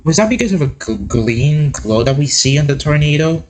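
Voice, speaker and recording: Animated, husky man reacting casually. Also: on the high side, moderate pace. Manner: excitable, repeats words, interjects while reading. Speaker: host of a reaction video. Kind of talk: casual reaction commentary, reading on-screen text aloud.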